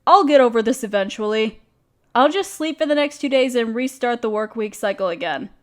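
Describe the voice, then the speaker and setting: High-voiced, apologetic young woman acted by a woman. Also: lively intonation, fairly quick, lightly acted. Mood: downcast, ashamed. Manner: self-deprecating, hesitant, casual. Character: downcast, apologetic young woman, overworked, confiding in a close friend. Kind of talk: roleplay audio drama, intimate one-sided conversation.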